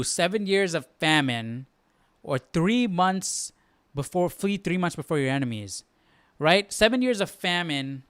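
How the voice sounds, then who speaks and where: A man's voice: on the high side, a bit bright, smooth, dry recording. A man hosting a live Bible study, commenting casually and thinking aloud, often checking himself with a questioning tag. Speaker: host.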